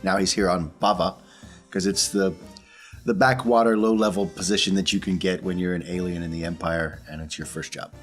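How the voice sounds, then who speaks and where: A man with a deep voice talks as a tabletop game player. His delivery is casual, and faint music plays behind him. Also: fairly even intonation, a little fast. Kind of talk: casual character introduction and table talk.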